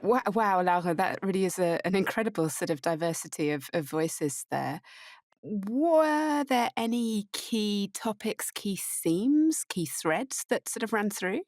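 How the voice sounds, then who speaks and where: A woman's voice: medium pitch, expressive, measured pace, darkish tone, no echo, clean studio sound. A woman hosting a news podcast, presenting items and questioning a reporter in a wry, playful way. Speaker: podcast host.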